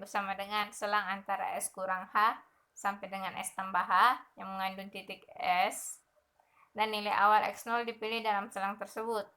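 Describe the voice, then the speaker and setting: A young woman explaining a numerical methods lesson over slides, steady and methodical, often pausing with fillers. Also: mid-range voice. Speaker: math lecturer.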